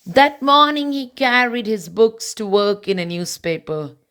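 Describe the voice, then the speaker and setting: A woman's voice: a little high, lively intonation, unhurried, fairly bright tone, smooth. A woman reading a children's picture book aloud as a storybook narrator. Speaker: storybook reader.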